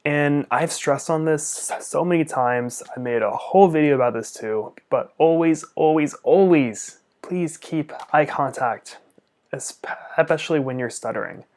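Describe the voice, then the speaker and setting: A man's voice: on the high side, unhurried, a little mellow. A young man, a speech-language pathologist, answering viewer questions in a casual vlog, earnest and open, stuttering often with repeated sounds and blocks.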